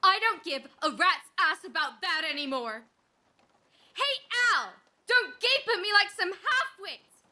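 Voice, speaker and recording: A woman's voice: very high-pitched, very animated, a little slow, pushed and bright, heavily acted. A young woman acting a despairing, bitter and defiant young woman in a radio play, dramatic and scornful. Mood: furious, defiant. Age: young adult.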